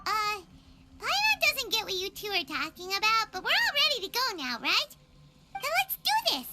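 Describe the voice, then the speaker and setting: A woman voicing a tiny, excitable female companion with a bouncy, exclamatory manner. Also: very high-pitched, normal speed, very noisy, heavily acted. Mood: eager.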